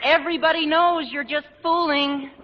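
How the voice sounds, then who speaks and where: A man acting a gawky, eager teenage schoolboy with a squeaky, cracking voice in an old radio sitcom. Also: fairly even intonation, normal speed. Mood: eager flattery. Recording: muffled old radio, noisy, clicks.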